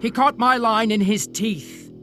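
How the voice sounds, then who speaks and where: An actor playing a hearty, sensible one-armed whaling captain in an audio drama, telling things colourfully. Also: male voice, sits high, measured pace, soft background music. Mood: vivid recounting. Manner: colourful, animated storytelling.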